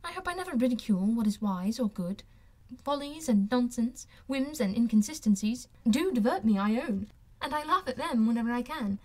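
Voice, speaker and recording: A female narrator acts out a witty young gentlewoman in an audiobook. She is playful and sure of herself. Her delivery is lively, articulate and teasing. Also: a little high, moderate pace. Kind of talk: audiobook character voice.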